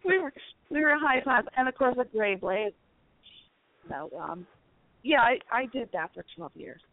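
A woman in her fifties chats as a caller on a radio show. Her voice sits fairly high and has a teasing, talkative way about it. The sound is close and dry, squeezed into a narrow phone band. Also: expressive, normal speed, dry recording. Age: 50s. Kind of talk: casual chat. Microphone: phone call.

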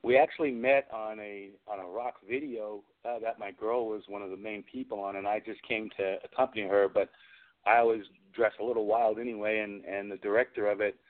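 A man's voice: lowish voice, steady tone, a little fast. A man chatting casually as an interview guest, telling rambling, slangy anecdotes about his own working life. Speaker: guest, film special effects and prop maker. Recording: phone-like narrow-band radio call-in audio.

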